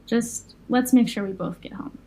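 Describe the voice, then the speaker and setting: A woman's voice: fairly high pitch, normal speed, natural voice. A woman playing an anxious, caring young fire breather in an audio drama, speaking hesitantly. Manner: hesitant, soft-hearted, worried. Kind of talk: naturalistic audio drama dialogue.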